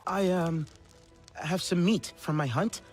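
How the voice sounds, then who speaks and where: An actor playing an unsure young alien hunter in a video game, hesitant and questioning. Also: male voice, on the high side, slow, faint music behind. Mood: tentative. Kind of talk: dramatic video game acting.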